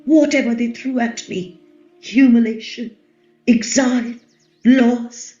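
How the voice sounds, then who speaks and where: Radio play queen, female actor: highish voice, slow and intense, proud, defiant, scarred by humiliation, roomy echo on the recording. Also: fairly even intonation, mellow, muffled recording, soft background music. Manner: deliberate, dramatic, intense. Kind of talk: play reading, radio drama.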